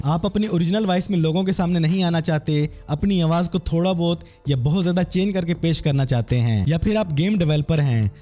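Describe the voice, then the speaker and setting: Man, high-pitched mellow voice, software tutorial narration, faint music behind. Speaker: tech tutorial presenter.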